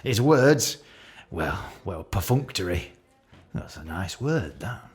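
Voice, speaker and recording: A man's voice: sits low, big pitch swings, slow pace, soft background music, heavily acted. A man playing an awkward, catty office boss with a British accent, giving a hesitant, rambling, jokey leaving speech in a radio play. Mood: hesitant, barbed. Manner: hesitant, repetitive, jokey with barbed asides.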